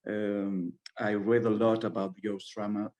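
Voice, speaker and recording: A man with a fairly low voice and a Spanish accent answers as a playwright in a Q&A. He is a native Spanish speaker who halts often to search for his words. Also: flat delivery, unhurried, a little mellow. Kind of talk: halting Q&A answer.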